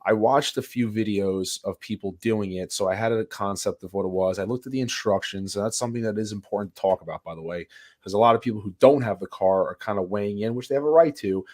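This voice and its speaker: A man with a lowish voice that is raspy while he gets over a sinus infection. He rambles through casual podcast talk, restarting sentences and leaning on "like" and "you know.". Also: fast talker. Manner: rambling, animated, many restarts and fillers. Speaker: podcast co-host.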